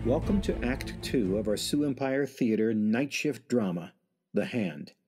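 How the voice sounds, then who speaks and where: A man's voice: mid-range voice, animated, measured pace. A man announcing and narrating a radio drama in a scripted style. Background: music.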